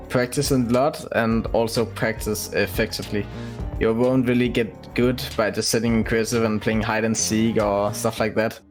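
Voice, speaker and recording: A young man from Denmark with a Danish accent, giving interview answers as a pro gamer, hesitant with frequent pauses. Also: mid-range voice. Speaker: professional esports player. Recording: music playing behind the voice.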